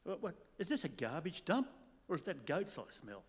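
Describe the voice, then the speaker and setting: Man playing excitable high-pitched stammering photographer. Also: moderate pace, husky, full character voice. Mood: whiny, gloomy. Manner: stammering, urgent, repeating himself. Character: excitable, pleading male press photographer, tipsy sidekick. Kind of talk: comic stage radio-play acting. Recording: reverberant hall.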